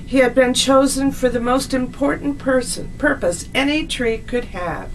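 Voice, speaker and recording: A woman narrating a scripted children's story as a storyteller, in a deliberate, expressive read-aloud manner. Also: high-pitched, slight background noise, poor audio.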